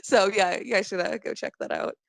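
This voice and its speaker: A woman guest chatting casually on a podcast about cartoons and memes, enthusiastic and a bit halting. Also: mid-range voice, lively intonation, gravelly, no room sound.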